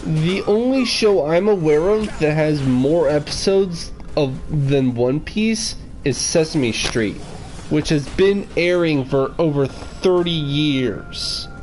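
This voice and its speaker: Male streamer, higher-pitched, unhurried, formal word choice in casual chat, some background noise. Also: darkish tone, low-quality recording. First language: English. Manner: wordy, formal phrasing. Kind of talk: casual livestream chat answering viewer questions.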